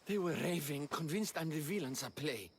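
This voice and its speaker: A nervy stage performer, with a fairly high voice over faint ambient noise. He speaks earnestly and dramatically, like a shaken man in a game cutscene. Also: animated, normal speed. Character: anxious, rattled stage actor craving godlike confidence. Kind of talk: video game cutscene acting.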